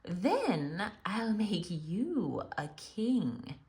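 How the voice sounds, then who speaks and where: Wicked witch for children's storybook, performed by a woman: commanding, snappish, drawn-out words, very animated pitch. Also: full character voice. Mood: sly, tempting.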